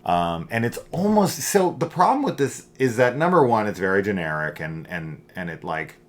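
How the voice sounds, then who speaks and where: A man's voice: on the low side, sing-song, normal speed. A middle-aged man hosting a casual film review, rambling and opinionated, with emphatic points.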